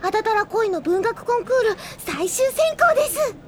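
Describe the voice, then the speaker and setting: A woman's voice: very high-pitched, very animated, moderate pace, heavy background noise. An actress playing a bubbly, earnest, lovestruck teenage schoolgirl in an audio drama, gushing politely and childishly. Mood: excited, grateful. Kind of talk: anime-style audio drama acting. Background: background music.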